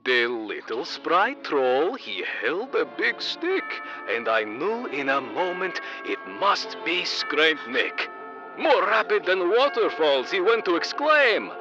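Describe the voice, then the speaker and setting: A doting creature father in a comedic audio play, reciting with theatrical flair. His voice is high and swoops widely. The sound is slightly dull. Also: very animated. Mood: playful storytelling. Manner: theatrical storytelling, halting. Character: doting alien creature papa reciting a holiday poem. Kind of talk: comedic audio drama acting. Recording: dull muffled sound under music.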